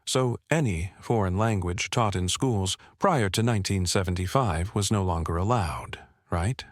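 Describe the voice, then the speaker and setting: A man in his fifties from Vietnam speaks in a fairly low voice, recorded dry and close. French is his first language, and he explains his memories as an interviewee, often checking in with the listener. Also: normal speed, dry recording. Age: 50s. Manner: explanatory, often checks with "right?" and "you know". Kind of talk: oral history interview, personal recollection.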